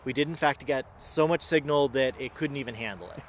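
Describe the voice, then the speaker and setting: A man's voice: mid-range voice, fairly quick, slight background noise. A man hosting a casual on-location vlog outdoors, commenting on a hands-on experiment. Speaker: host running a drone antenna experiment.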